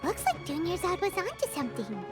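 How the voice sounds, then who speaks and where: Squeaky, very high little fairy companion. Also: female voice, wildly swooping pitch, a little fast, exaggerated voice. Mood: curious. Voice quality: squeaky, childlike. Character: tiny childlike female fairy companion, chirpy and curious. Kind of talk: video game voice acting. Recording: loud game music behind the voice.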